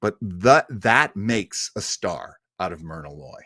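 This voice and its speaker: Male host, casual chatter, animated pitch, dry close mic. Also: slow, dry recording. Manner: chatty and enthusiastic, with frequent fillers and restarts. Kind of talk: casual livestream chat.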